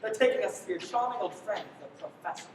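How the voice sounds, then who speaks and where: A woman's voice: mid-range voice, fast talker, natural voice. A young woman playing a wry man on the run in a live radio play, with dramatic delivery. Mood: smug. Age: young adult. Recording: echoey, noisy livestream, distant mic.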